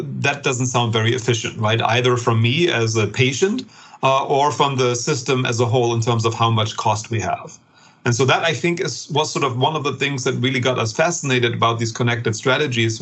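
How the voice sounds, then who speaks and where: A middle-aged man speaks with a German accent and a faintly husky, breathy tone. He explains ideas at length as a podcast guest, circling back with fillers and reworded phrases like a professor thinking aloud. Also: medium pitch, a bit bright. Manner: thoughtful, many fillers and rephrasings. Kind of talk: interview answers, explanatory.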